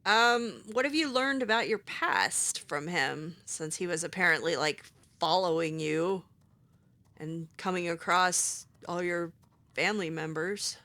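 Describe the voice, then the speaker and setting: Bright-voiced sarcastic woman gamer. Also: on the low side, unhurried. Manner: sarcastic, hesitant with fillers. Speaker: game streamer roleplaying her character. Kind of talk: in-character roleplay voiced over gameplay.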